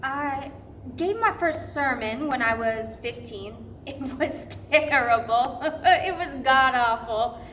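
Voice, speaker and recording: Female pastor with wry self-deprecation, played by an actress, high-pitched, conversational stage storytelling, faint noise in the room. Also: slow pace, fairly bright tone. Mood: amused. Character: female pastor fondly mocking her teenage first sermon. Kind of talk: verbatim theatre monologue, conversational. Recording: faintly noisy live staged reading.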